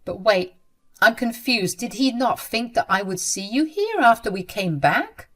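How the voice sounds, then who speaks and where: A protective young bride, as a woman narrator acts her out, emphatic and quick to outrage in a storybook telling. Her voice rides higher and louder than the narrator's own, pushing hard on each point. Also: big pitch swings, heavily acted. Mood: indignant.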